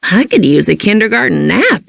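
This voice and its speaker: A woman voicing a small, anxious and devoted handmade toy in a children's read-along, earnest and excitable. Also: medium pitch, moderate pace, gravelly, no echo, studio quality, heavily acted. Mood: weary.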